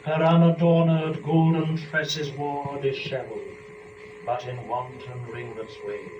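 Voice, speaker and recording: A man recites old epic poetry very slowly and formally, setting out each phrase with care. The recording is roomy and somewhat muffled, with clear background noise. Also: mid-range voice, level tone. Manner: measured, formal, deliberate phrasing. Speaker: performer reading poetry. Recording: echoey, dull, noisy live room recording.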